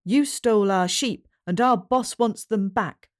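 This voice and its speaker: A man's voice: high-pitched, lively intonation, moderate pace. A woman voicing a blunt Maori shepherd man with a Maori accent, accusing and threatening another man in a storybook reading.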